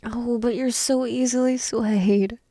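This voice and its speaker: Woman acting a breathy, coy young dragon princess. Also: fairly high pitch, fairly even intonation, dark tone, no echo, studio quality. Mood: playful teasing. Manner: breathy half-whispered, slow, coy delivery. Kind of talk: ASMR roleplay, soft and intimate.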